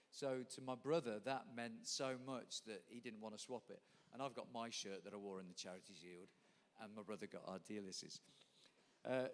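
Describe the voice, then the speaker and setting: A man hosting a live stage evening, telling anecdotes with laughter and asking his guests questions. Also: mid-range voice. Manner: chatty, laughing storyteller. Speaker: event host. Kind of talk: stage interview with storytelling. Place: live event venue. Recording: echoey hall.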